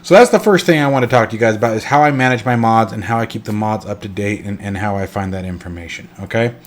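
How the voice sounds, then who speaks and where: A man's voice: on the low side, fast. Stuffy-nosed man rambling through a tutorial. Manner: rambling, self-correcting, lots of fillers. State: congested, stuffed up. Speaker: flight sim channel host. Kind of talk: casual screen-recorded tutorial.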